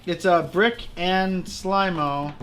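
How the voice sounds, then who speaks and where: A high-pitched man hosts a relaxed crafting stream. His voice is bright and forceful, and he talks in a playful, informal way over some background noise. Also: slow. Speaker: livestream host. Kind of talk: casual chat while doing crafts.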